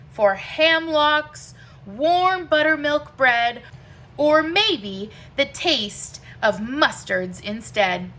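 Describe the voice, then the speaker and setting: A woman reading rhyming verse aloud for children in an expressive way, with deliberate, emphatic phrasing and pauses between phrases. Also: sits high. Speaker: teacher reading a picture book aloud.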